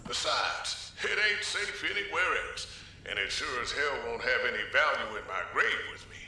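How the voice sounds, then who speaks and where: A man's voice: mid-range voice, big pitch swings, moderate pace, pushed and bright. An actor playing a kindly, courtly older American man with a folksy manner in a video game. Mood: warm reminiscing. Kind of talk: video game cutscene acting. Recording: very echoey, distant, faint noise.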